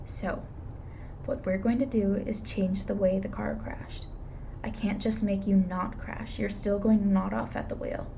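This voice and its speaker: Woman playing a mellow, dry-witted female reaper. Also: mid-range voice, fairly even intonation, a little slow, gravelly, slight background noise. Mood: firm, instructing. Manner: dry, matter-of-fact, grudging. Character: female reaper, blunt, weary, reluctantly soft-hearted. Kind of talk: intimate ASMR roleplay acting.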